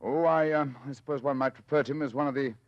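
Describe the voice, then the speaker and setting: An actor playing a brilliant, aloof English detective in 1940s radio drama, crisp, theatrical and dryly ironic. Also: male voice, mid-range voice, fairly even intonation, fast, no room sound, close to an everyday voice. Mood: measured. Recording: dull vintage radio recording.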